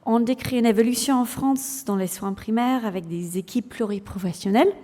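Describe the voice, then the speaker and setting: A woman's voice: fairly high pitch, brisk, mellow. English-accented woman lecturing in a hall. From England. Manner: engaging, polls the audience, hesitant fillers. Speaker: general practitioner and clinical lecturer presenting. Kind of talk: conference presentation.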